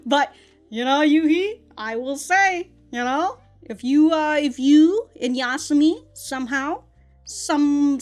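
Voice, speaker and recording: Chatty young woman reviewing an anime. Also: high-pitched. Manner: rambling, animated, laughs often, many restarts. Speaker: anime reaction host.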